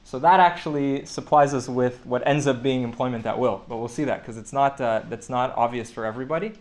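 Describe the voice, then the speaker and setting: A man's voice: mid-range voice, fairly quick, darkish tone. A man teaching a class, explaining points at length with frequent restarts and fillers. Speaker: teacher of Torah law. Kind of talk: classroom lecture.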